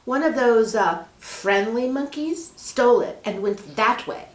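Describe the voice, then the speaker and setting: An excitable young boy from a children's adventure story, acted out by a woman reader. He speaks in emphatic, urgent exclamations that leap up and down in pitch. The room rings noticeably around the voice. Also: unhurried. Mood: defensive. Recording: roomy, echoing room.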